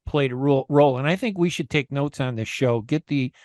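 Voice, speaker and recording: A man's voice: medium pitch, a little fast, darkish tone, dry recording, clean studio sound. A man hosting a radio talk show, chatting casually and thinking aloud with plenty of fillers and opinions.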